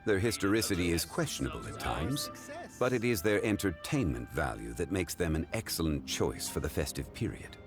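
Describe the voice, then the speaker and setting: A low, composed voice of a refined gentleman in a video game. He speaks formally and eloquently, contemplative and unhurried in manner. Music plays clearly underneath. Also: big pitch swings. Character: dignified, leisurely, ancient-souled gentleman of refinement. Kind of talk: English video game voice acting. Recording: music behind the voice.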